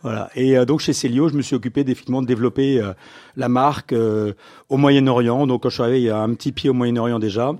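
A middle-aged man, a business owner, being interviewed and telling his career story in a relaxed conversational way, with frequent fillers and restarts. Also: medium pitch, fast. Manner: hesitant, many fillers and restarts, livens up when amused.

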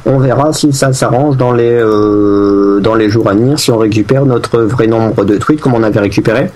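A man's voice: on the low side, a little mellow. A man co-hosting a casual tech podcast, explaining news in a rambling way with many fillers and restarts. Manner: jokey, fake accents for laughs.

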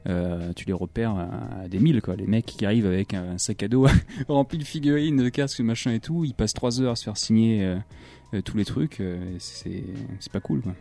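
Low-voiced easygoing man chatting. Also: brisk, hardly any pauses, warm tone. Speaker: podcast co-host. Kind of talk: casual chat.

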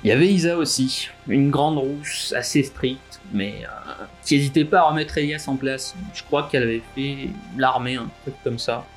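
A man in his twenties playing a nervous, naive young robbery suspect in an audio drama, speaking informally and haltingly. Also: medium pitch, faint music behind, natural voice. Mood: hesitant, confiding. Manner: hesitant, informal, stammering. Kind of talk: scripted audio drama dialogue and recounting.

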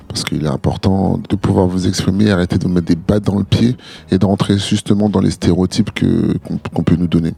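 A man co-hosting a podcast, giving measured advice with frequent hesitations and restarts. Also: low voice, gravelly, warm tone, slightly rough. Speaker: podcast co-host. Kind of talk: casual podcast discussion.

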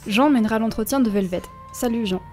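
A woman hosting a student radio show about video games, reading a prepared chronicle in a clear, measured way with a touch of drama. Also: fairly high pitch, a little mellow, faint music behind. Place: radio studio.

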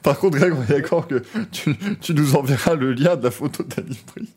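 A man's voice: a little high, a little fast, darkish tone, breathy edge. A man who grew up in Belgium, hosting a casual motorsport stream and signing off with thanks, laughing and rambling. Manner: laughing, rambling, self-mocking. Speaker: host.

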